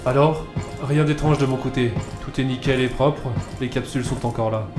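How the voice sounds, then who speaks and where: Practical, confident spaceship tech, a male actor, sci-fi audio drama, clear music underneath. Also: mid-range voice, steady tone, moderate pace, lightly acted. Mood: inquiring, practical. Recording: echoey room, music behind.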